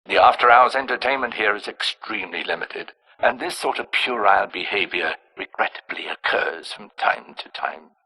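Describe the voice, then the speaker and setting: An actor playing an erudite, disdainful insider in a video game, revealing dark secrets in a formal, precise, wry monologue. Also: male voice, on the low side, normal speed, hardly any pauses, slightly rough, low-quality recording. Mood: wry disapproval. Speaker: informant character in a video game. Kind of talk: scripted confessional monologue.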